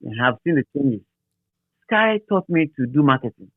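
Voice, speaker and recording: A man's voice: mid-range voice, sing-song, normal speed, dry recording. A middle-aged man with a Ghanaian accent, reminiscing in a casual interview and often restating phrases for emphasis. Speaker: interviewee, radio DJ. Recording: thin, phone-like narrow-band line.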